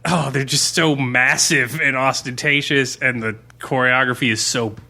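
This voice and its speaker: Bright, forceful man chatting emphatically. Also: medium pitch, steady tone, normal speed, creaky, faint music behind. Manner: emphatic, hyperbolic, wry. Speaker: podcast co-host. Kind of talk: casual chat.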